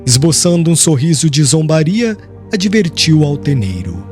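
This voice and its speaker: Brazilian guy reading a dramatic audiobook, formal and solemn, very expressive pitch, music behind him. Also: normal speed. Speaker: audiobook narrator. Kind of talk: dramatic scripted literary narration. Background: background music.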